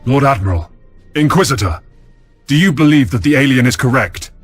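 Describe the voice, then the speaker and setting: An actor playing a resolute, dutiful space navy admiral in a video game, with a firm and formal military delivery. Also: male voice, medium pitch, animated, fairly quick, breathy edge. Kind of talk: video game cutscene acting. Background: music.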